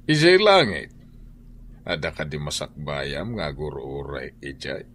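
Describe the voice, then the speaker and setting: A man's voice: very deep voice, big pitch swings, measured pace, fairly bright tone, a little hiss or hum, heavily acted. An actor with an Ilocano accent playing a stern wealthy husband who lectures his wife in a radio drama. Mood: preaching.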